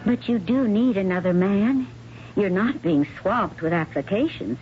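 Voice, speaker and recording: A woman's voice: medium pitch, animated, measured pace, lightly acted. A woman playing a determined, practical and protective wife in a radio drama, firm and coaxing. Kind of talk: 1970s radio drama acting. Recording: muffled, slightly noisy 1970s radio broadcast.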